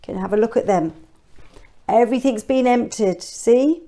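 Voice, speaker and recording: A woman's voice: fairly high pitch, moderate pace, warm tone. A woman reading a children's story aloud expressively, with chatty questions and asides for young listeners.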